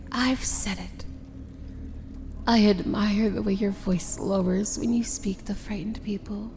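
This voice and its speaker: Woman playing a slow-spoken, regal evil princess. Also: mid-range voice, darkish tone, a little hiss or hum. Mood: tender, admiring. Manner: measured, regal, deliberate, formal. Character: proud, dangerous evil princess, commanding yet tender. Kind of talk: ASMR fantasy romance roleplay, dramatic monologue.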